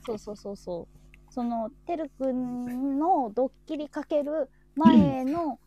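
A woman's voice: high voice, slow, dark tone, muffled recording. A woman hosting a casual live audio chat, agreeable and often repeating her affirmations. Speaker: host of a live audio talk show.

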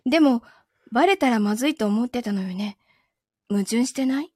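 A wronged girlfriend in an audio drama, high-voiced and possessive. She interrogates with cool, soft menace and keeps a calm, taunting control. The sound is close and dry. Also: normal speed, breathy edge, no echo. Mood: possessive, probing. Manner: calm interrogating, softly taunting, sometimes whispering. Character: possessive, coolly menacing wronged girlfriend holding her lover captive. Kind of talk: Japanese audio drama acting.

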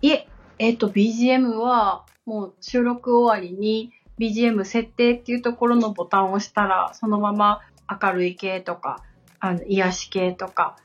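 A woman speaks as a podcast co-host in polite, simply worded conversation. Her pitch sits in the middle for a woman. The audio is a little dull, with music playing behind her. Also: fairly even intonation, moderate pace. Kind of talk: conversational podcast talk, answering questions. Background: background music.

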